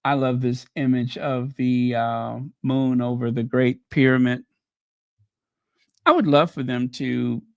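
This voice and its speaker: A man hosting a casual livestream, musing aloud and chatting with viewers, with many pauses and ums, while saying he is losing his voice. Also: medium pitch, smooth, dry recording, clean studio sound. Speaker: channel host.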